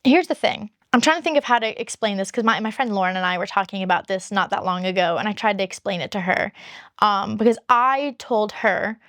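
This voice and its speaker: A woman YouTuber with a high-pitched voice, chatting candidly to her viewers and rambling through long explanations. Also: fairly quick, a bit bright, dry recording, studio quality. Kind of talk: casual chat to camera.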